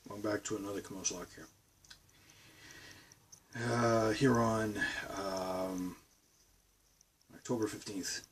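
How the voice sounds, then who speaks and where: A man's voice: on the low side, steady tone, slow, pauses often, far from the mic, a little hiss or hum. A man with a Boston accent rambling casually to his camera from his bedroom studio. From Massachusetts, USA. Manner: rambling, meandering talk. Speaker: vlogger.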